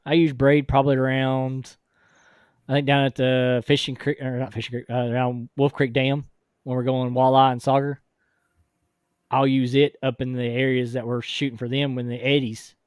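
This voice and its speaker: A man hosting a casual fishing podcast, his voice hoarse and deeper from an illness, reading out viewer comments in a relaxed way. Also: moderate pace, no echo, studio quality. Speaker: podcast host.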